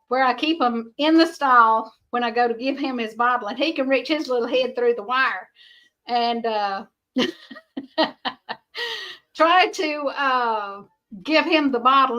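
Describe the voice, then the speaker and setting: Middle-aged woman vlogger, high bright voice, rambling homespun chat with frequent laughs. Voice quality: high-pitched. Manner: rambling, chatty, laughs often. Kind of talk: casual chat to viewers.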